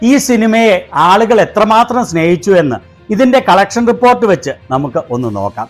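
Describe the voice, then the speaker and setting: A man's voice: high-pitched, big pitch swings, brisk, soft background music. A man with a Malayali accent delivering scripted, critical commentary as a video host.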